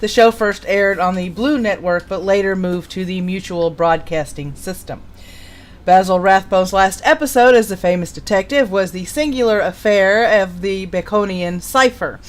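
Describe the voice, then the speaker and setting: A woman's voice: mid-range voice, normal speed. A woman hosting a live stream, chatting casually and introducing material in an informal, jokey way. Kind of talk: casual live-stream chat and reading show history.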